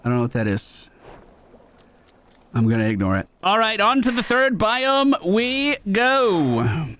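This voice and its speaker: A man's voice: sits high, huge pitch swings, unhurried, fairly bright tone, a little hiss or hum. A man streaming a video game, giving casual, jokey running commentary and talking himself through play. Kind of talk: live gameplay commentary. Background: game music.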